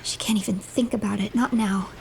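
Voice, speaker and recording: A woman narrating a game's story in a measured, dramatic way, often breathy and hushed. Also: mid-range voice, steady tone, noisy background. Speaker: streamer narrating a game.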